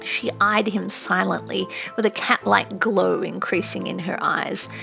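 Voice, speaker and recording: A woman's voice: mid-range voice, fairly even intonation, measured pace, vocal fry, pushed and bright, soft background music. A woman narrating an audiobook in a scripted, literary storytelling style.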